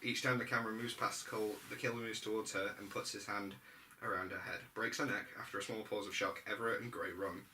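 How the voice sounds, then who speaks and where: Bright-voiced man narrating, distant mic. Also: lowish voice, fairly even intonation, quick pace. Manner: stumbling over the script. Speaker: narrator at a script read-through. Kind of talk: reading stage directions aloud, amateur. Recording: distant mic, faint room noise.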